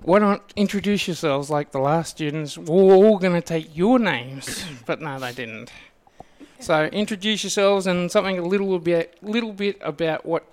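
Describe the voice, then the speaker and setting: A male radio host with an Australian accent chats casually with studio guests. His voice is fairly high and smooth, and he rambles along haltingly.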